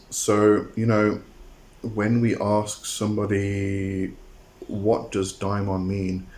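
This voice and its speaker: A man talking to his viewers in a hesitant monologue, often pausing on "um" and repeating words as he explains a term. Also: deep voice, a little mellow. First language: not a Greek speaker. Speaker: occult channel host.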